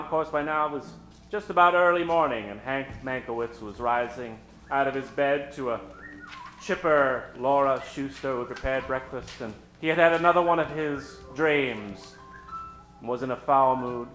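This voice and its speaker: A man's voice: mid-range voice, moderate pace, a bit bright, rough recording. A man narrating an old-time radio comedy as a storyteller. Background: music.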